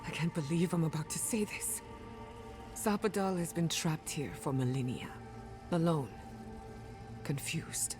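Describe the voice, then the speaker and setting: Wounded, sardonic scholar of souls, fantasy game; lowish female voice over music. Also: animated, a little slow, pauses often. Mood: reluctant forgiveness. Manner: emotional, sardonic. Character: wounded, sharp-tongued female animancer seeking answers. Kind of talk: fantasy video game acting.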